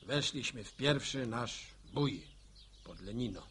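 An actor playing a middle-aged village war veteran in a radio drama, telling things plainly. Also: male voice, mid-range voice, animated, measured pace, a bit bright, slight background noise. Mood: reminiscing. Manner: folksy, matter-of-fact storytelling.

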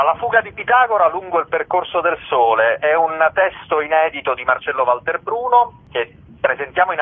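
A theatre man giving conversational, explanatory interview answers in a halting way. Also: mid-range voice. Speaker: theatre actor-director, interview guest. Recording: narrow tinny phone line.

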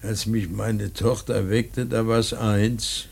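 An actor playing a grave, composed middle-aged father in grief, speaking in a measured, fatherly way in a German radio play. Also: on the low side, level tone, creaky, slightly rough, exaggerated voice. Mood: grave, weary.

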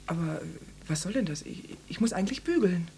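Flustered, flirty housewife, swinging pitch. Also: female voice, expressive, fairly quick, warm tone, a little hiss or hum. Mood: nervous, surprised. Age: 30s. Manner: hesitant, stammering, breathy asides. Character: flustered, flirtatious housewife in her mid-thirties. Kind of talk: radio play acting.

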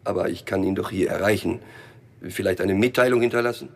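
An actor playing a wry, weary small-time drug dealer in a German crime radio play, muttering drily in a gravelly voice. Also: male voice, low-pitched, fairly quick. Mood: polite, insistent. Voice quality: gravelly, creaky.

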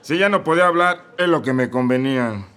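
A man's voice: medium pitch, expressive, a little fast, fairly bright tone, lightly acted. A man with a Mexico City accent playing a crude, drunken, pious shepherd who rants in slang. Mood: ranting. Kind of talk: comedic radio play acting.

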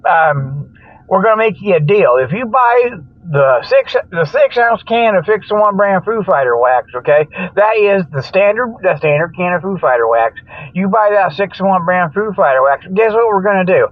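A man's voice: high voice, animated, moderate pace. An older man hosting a comic radio show in a rambling, folksy, joking monologue, often losing his thread. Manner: folksy, jokey, chuckles at own jokes, loses his train of thought. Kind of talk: rambling tongue-in-cheek radio monologue with mock sponsor plugs. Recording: dull, lo-fi, dry radio sound.

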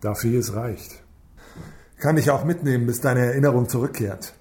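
An actor playing an impatient, sarcastic police inspector in a radio crime drama, brusque and commanding. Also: male voice, mid-range voice, moderate pace, a little mellow, close to an everyday voice. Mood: probing, stern. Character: impatient, sarcastic male police inspector leading a murder case.

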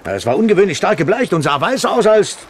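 An actor playing an eager, deferential pirate seaman in a radio play, excited and respectful. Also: high voice, fast talker. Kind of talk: German radio play acting. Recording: faint background noise.

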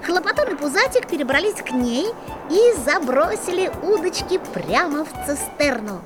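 A woman narrating a children's fairy-tale audio play in an expressive storybook style. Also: high-pitched, moderate pace, over music.

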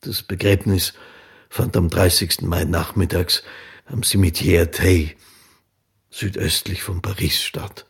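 Very husky, low chronicler. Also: male voice, fairly even intonation, a little slow, exaggerated voice. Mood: sober. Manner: sober, factual. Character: sober male documentary chronicler. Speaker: narrator. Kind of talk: scripted radio drama narration.

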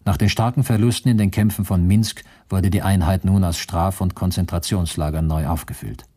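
A man's voice: deep voice, fairly even intonation, moderate pace, dark tone. A man playing a grim former soldier, giving sober, matter-of-fact testimony in a scripted radio drama.

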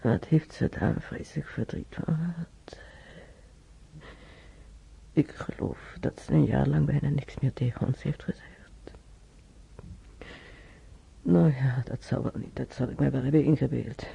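A man acting a wistful character who reminisces about his childhood in a radio drama, intimate and halting, with a gravelly voice. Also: on the high side, mellow, heavily acted. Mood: sorrowful, subdued. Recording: dull, noisy old radio recording.

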